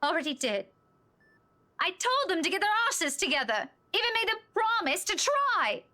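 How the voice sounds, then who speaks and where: An actress playing a weary, distraught young woman in a visual novel, speaking in a halting, emotional way. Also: very high voice, big pitch swings, sharp tone, exaggerated voice. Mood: frustrated, despairing. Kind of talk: visual novel voice acting, dramatic.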